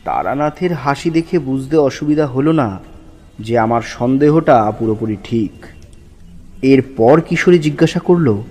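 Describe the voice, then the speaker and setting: A man as Bibhuti, earnest horror narrator, mid-pitched. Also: expressive, a little slow, darkish tone, faint music behind. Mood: suspenseful narration. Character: Bibhuti, earnest male narrator shaken by the supernatural. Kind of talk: first-person horror story narration.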